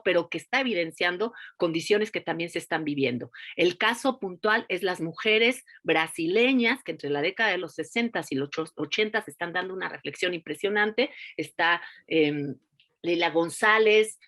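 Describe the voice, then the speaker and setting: A woman's voice: mid-range voice, normal speed, a bit bright. A woman speaking as an academic panelist, explaining her view spontaneously in an online seminar. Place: online seminar, remote call.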